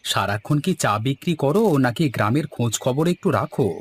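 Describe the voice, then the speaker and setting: A man's voice: medium pitch, lively intonation, quick pace, husky. An actor playing an eager, persuasive village man in a cartoon folk tale. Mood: earnest, persuasive. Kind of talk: animated cartoon dialogue in Bengali.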